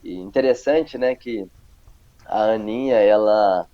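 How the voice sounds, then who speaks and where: A pastor in his thirties with a mixed Brazilian accent, calmly telling his story in an interview, with many halting tag questions. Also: on the low side. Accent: mixed Brazilian Portuguese, northeastern and paulista. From Paraíba, Brazil. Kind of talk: casual testimony interview. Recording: muffled lo-fi sound.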